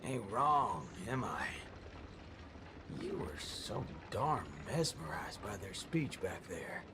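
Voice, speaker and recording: A drawling cowboy ranger. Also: male voice, on the low side, sing-song, slow pace, noisy background. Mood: mocking. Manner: folksy drawl, mild euphemistic cursing. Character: gruff drawling cowboy ranger man, sardonic. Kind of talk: video game voice acting. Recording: game audio with music underneath.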